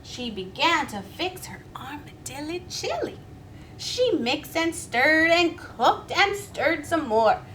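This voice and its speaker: A woman's voice: sits high, very animated, slow pace, fairly bright tone, slight background noise, poor audio. A woman reading a children's story aloud expressively, inviting young listeners to join in. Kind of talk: animated children's read-aloud.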